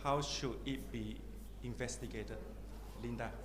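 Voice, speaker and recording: A man's voice: mid-range voice, fairly even intonation, unhurried. A man, a surgeon, introducing speakers as chair of a medical conference session, with frequent hesitations and fillers. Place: conference hall. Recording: echoey hall with noisy ambience.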